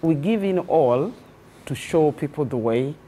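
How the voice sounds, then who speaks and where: A woman's voice: sits low, animated, unhurried, warm tone, a little hiss or hum. A woman, a teacher education registrar, speaking as a guest on a TV talk show with impassioned, preacherly phrasing. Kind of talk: TV studio interview. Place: TV studio set.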